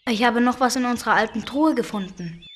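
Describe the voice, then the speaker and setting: Children's game: woman as an earnest young kid, fairly high, worried but friendly. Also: fairly quick, slight background noise, natural voice.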